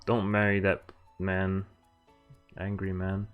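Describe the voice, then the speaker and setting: A man's voice: deep voice, completely flat delivery, slow, narrow, tinny audio, soft background music. A man streaming a visual novel, casually reading game text aloud and adding informal, jokey commentary. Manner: informal, jokey asides between read lines.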